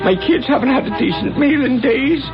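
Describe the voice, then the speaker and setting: A man's voice: very high voice, expressive, normal speed. An actor playing a whining, self-pitying male street beggar in a 1970s radio drama. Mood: wheedling. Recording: muffled old radio recording, music behind.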